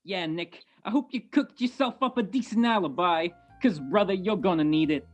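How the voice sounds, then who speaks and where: A woman's voice: on the low side, animated, normal speed, soft background music. A woman playing a suspicious old male police sergeant who talks in needling tough-guy slang in a radio detective play. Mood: accusing. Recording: muffled video-call recording.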